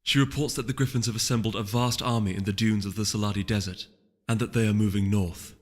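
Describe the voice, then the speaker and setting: A man voicing a grave, weary, regal pony princess in a storybook reading, speaking softly and in measured phrases. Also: on the low side, steady tone, big-room sound, lightly acted. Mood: grave, reluctant.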